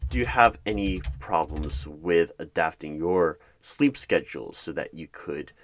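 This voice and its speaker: A man in an ASMR roleplay as a hiring manager, asking interview questions in a measured, deadpan manner. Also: rumbling low. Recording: clear background noise with keyboard typing. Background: computer keyboard typing and clicks.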